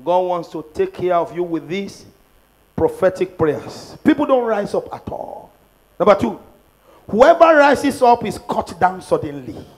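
Man, Nigerian accent, middle-aged, pastor, high-pitched, fervent, repeats declarations. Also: sing-song, unhurried, poor audio. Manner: fervent, shouting declarations, repeating lines for the crowd. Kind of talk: prophetic prayer sermon. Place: large church auditorium, on a stage at a pulpit. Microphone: handheld microphone.

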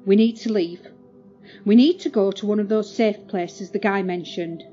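A woman's voice: mid-range voice, moderate pace, warm tone, highs cut off, soft background music, lightly acted. A woman playing a firm, anxious mother in an audio drama. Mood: alarmed.

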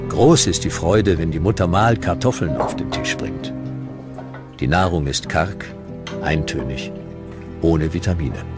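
Very deep-voiced documentary narrator, male. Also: measured pace, loud music behind the voice.

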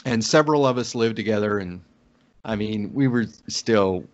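A man's voice: on the low side, normal speed, darkish tone, slight background noise. A middle-aged American man from Oklahoma telling a casual personal story as a podcast guest on a video call. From Oklahoma, United States. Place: indoor room on a video call. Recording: dull lo-fi video call audio.

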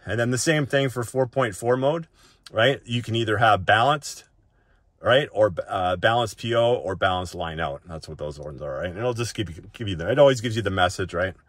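A man's voice: on the low side, moderate pace, a bit bright, dry recording, clean studio sound. A man hosting a gadget walk-through in casual, unscripted tutorial talk, conversational and often checking in with short tag questions. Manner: conversational, often tags sentences with "right?".

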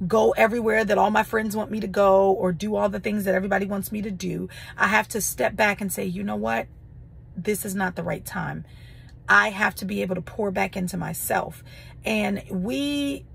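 A slightly hoarse middle-aged woman, a life coach, talking casually and reflectively to her followers in an encouraging way. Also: medium pitch. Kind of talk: casual motivational talk to camera. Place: inside a parked car. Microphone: phone at arm's length. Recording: noticeable background noise.

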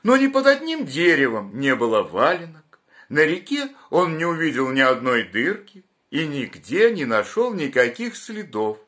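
A man in his fifties, an actor, reading a fairy tale aloud in a slow, tender, expressive way from a small room at home. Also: fairly high pitch. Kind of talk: storybook narration.

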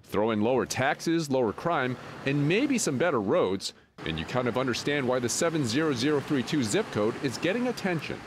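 Man, news reporter, lively intonation, faint street noise. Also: medium pitch, normal speed. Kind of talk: news feature narration and street interviews. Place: outdoors in a residential street.

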